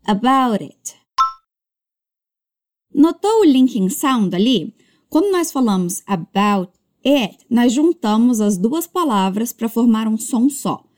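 A woman teaching English as a language lesson, modeling words slowly and carefully before brisk explanations. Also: a little high, a little mellow. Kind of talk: slow drilled pronunciation lesson.

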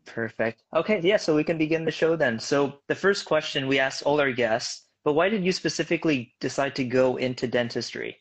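A man's voice: medium pitch, animated, a little fast, few pauses. Upbeat young male podcast host. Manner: upbeat, friendly, some filler restarts. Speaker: podcast co-host, dental student. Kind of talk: casual podcast hosting.

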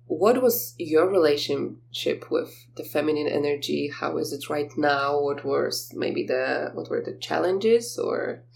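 A woman's voice: low voice, unhurried, vocal fry, few pauses, darkish tone. A woman hosting a reflective, casual interview, speaking in hesitant, loosely joined phrases. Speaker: interview host. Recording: faint background noise.

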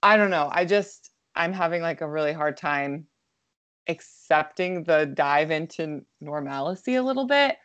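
Hoarse chatty woman, lo-fi mic. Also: sits low, lively intonation, a little slow, a bit bright. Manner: chatty, lots of "like", anxious rambling. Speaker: podcast co-host. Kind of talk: casual chat.

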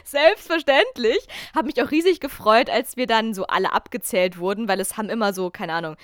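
A chatty young woman with German as her mother tongue, telling stories in a lively, self-mocking manner. Her voice sits a little high. The sound is dry and close, with no room around it. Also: brisk, no room sound. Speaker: podcast host. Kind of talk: casual storytelling chat.

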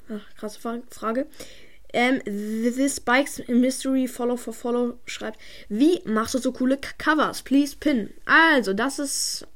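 A woman from Germany answering viewer questions in a casual chat, stumbling over words and using slang. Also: fairly high pitch. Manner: frequent stammers and restarts, slangy.

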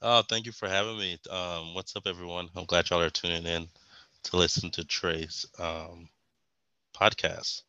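Deep-voiced young man from Texas. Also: level tone, normal speed, pushed and bright, clear, resonant tone, dry recording, highs cut off. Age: young adult. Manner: rambling, frequent ums and restarts. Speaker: guest. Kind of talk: casual interview.

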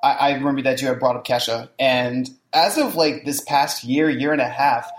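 A young adult podcast host in casual chat, lively and full of "like", slipping into mocking quoted voices. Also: medium pitch, normal speed, soft background music. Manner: animated, says "like" a lot, does mocking quoted voices. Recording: echoey room.

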